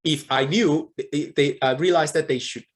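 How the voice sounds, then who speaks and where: A man's voice: a little high, normal speed. A man from Southeast Asia speaking as a panelist, giving chatty answers and often tagging his points with "okay?". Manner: chatty, keeps adding "okay?" at the end of points. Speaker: startup visa panelist.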